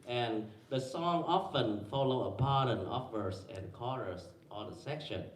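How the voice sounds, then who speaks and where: A man presenting at a conference panel, talking haltingly with many fillers and restarts and quizzing the audience. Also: mid-range voice, expressive. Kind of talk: academic presentation with slides. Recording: echoey room, mic far away.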